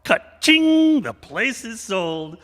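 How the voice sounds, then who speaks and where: A man hosting a theatrical radio variety show in an animated, showmanlike way. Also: very high-pitched, slow pace, over a noisy crowd. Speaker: host. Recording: echoey hall, long reverb.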